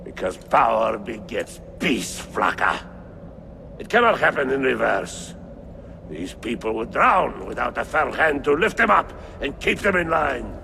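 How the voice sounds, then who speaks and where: A man's voice: medium pitch, big pitch swings, measured pace, pushed and bright, full character voice. A man playing a proud, grandiose Byzantine Templar lord in a video game, with an oratorical manner. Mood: bitter, defiant. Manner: pompous, oratorical, declamatory. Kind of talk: dramatic video game cutscene acting. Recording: game audio with clear background noise.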